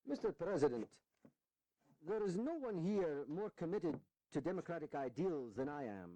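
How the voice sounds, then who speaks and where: An actor playing an earnest, high-minded eighteenth-century delegate in a historical audio drama, speaking in formal oratory. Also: male voice, very animated, normal speed, dark tone, poor audio. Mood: declamatory.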